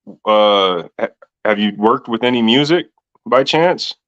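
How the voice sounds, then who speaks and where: A man's voice: fairly low pitch, animated, unhurried, a bit bright, no room sound. A man hosting a casual interview, encouraging the guest and backchanneling often. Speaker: podcast host. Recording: dull lo-fi audio.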